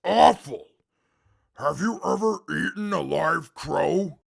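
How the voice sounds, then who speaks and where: Huge mutant brute for a radio drama: very gravelly, slow, indignant and formal. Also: male voice, a little high, full character voice. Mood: outraged. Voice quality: gravelly, forceful. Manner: formal, blustering, indignant speech. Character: big green indestructible mutant brute, pompous, short-tempered, hungry. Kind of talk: post-apocalyptic audio drama acting.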